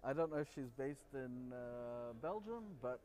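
A man's voice: medium pitch, lively intonation, measured pace, darkish tone. A man in his forties co-hosting a casual chat in an outdoor bar courtyard, reading from a prompter and stumbling over names. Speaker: podcast co-host.

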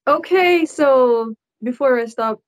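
A woman's voice: high voice, slow pace. A young woman hosting a podcast, speaking in a conversational, tentative way and often qualifying what she says. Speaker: podcast host. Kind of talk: casual conversational interview. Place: indoor room, video call.

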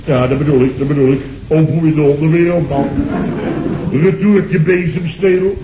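An actor playing a blustering, indignant boss in a radio comedy, exclaiming and repeating himself. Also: male voice, a little high, steady tone, normal speed, lightly acted. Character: blustering, indignant boss recounting his misadventures. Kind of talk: 1970s radio comedy acting. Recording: muffled, noisy old radio recording, roomy.

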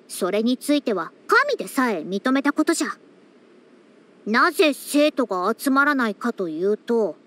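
A high, very lively girlish scholar who is a hundred years old for a game. She speaks in archaic elder's phrasing, proud and schoolmarmish, and likes to boss her juniors. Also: exaggerated voice. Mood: haughty, defensive. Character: youthful-sounding centenarian female scholar, proud and bossy. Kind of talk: scripted game character voice lines.

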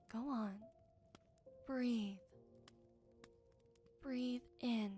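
A woman playing a gentle, wise forest witch in an ASMR roleplay, soothing, unhurried and tender, softly teasing. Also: on the high side. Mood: calming.

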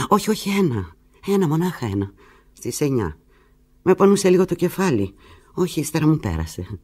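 Anguished lovelorn woman, radio drama telephone monologue, pleading, fretful, halting, very animated pitch. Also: husky, heavily acted.

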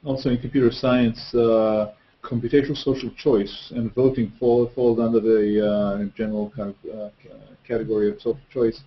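A man's voice: mid-range voice, little pitch movement, moderate pace. A man moderating an online course Q&A from an office, hesitant with many fillers. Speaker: course instructor hosting a video call. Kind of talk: casual online Q&A. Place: office with painting on wall. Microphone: webcam mic on video call. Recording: muffled video-call audio.

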